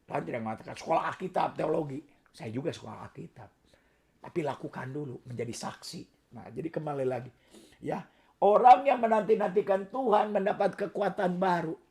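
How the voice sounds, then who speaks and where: A middle-aged male pastor preaches in a hoarse, worn-out voice that sits high for a man. His gravelly tone rasps through soft asides and then rises into loud, emphatic declarations. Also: animated, a little fast. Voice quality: hoarse, raspy voice. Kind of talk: sermon.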